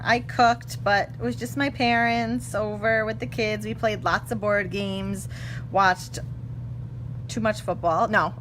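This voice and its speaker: A woman in her thirties hosting a casual stamping craft demo, slightly hoarse, chatty and self-correcting, talking while she works at a table in her craft room. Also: fairly high pitch. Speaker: craft tutorial host. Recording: clear background hum.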